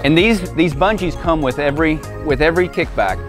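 A man's voice: a little high, brisk. A man in his forties giving a casual, plain, step-by-step instructional walkthrough in short phrases as a product demonstrator. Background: music.

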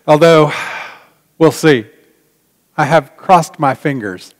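A middle-aged man, a pastor addressing his congregation informally in a folksy, dry manner with long pauses, in a large church. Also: on the high side. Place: large church sanctuary, indoors. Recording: reverberant church hall.

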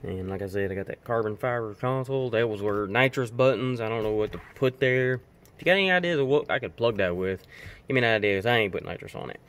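A man's voice: medium pitch, quick pace, fairly bright tone, a little hiss or hum. A man giving a rambling, informal walkaround tour of his home garage workshop, speaking into a handheld camera. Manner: rambling, informal, with fillers and restarts. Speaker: garage vlogger.

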